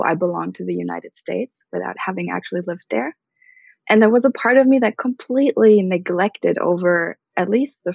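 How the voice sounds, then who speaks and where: A woman's voice: medium pitch, gravelly, no room sound, dull audio. A woman in her thirties from Germany, a podcast guest answering thoughtfully and earnestly, sometimes searching for words. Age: 30s. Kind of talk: reflective conversational interview.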